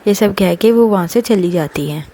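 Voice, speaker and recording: Mellow woman storyteller. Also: medium pitch, normal speed. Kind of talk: story narration. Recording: clear background noise.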